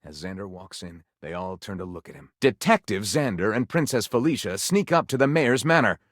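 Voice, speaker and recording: A man's voice: fairly low pitch, animated, a little fast, no room sound, clean studio sound. A man playing a theatrical game character who narrates pulpy detective adventures with arch mock gravity and a tongue-in-cheek manner. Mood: arch, dramatic. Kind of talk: dramatic scripted story narration.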